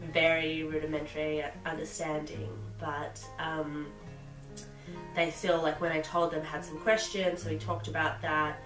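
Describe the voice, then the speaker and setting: Low-voiced Australian woman, hesitant. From rural Victoria, Australia. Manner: hesitant, lots of "um" and "like", thoughtful. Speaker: guest, partner of host. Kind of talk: casual personal account. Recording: echoey room, distant mic, music behind.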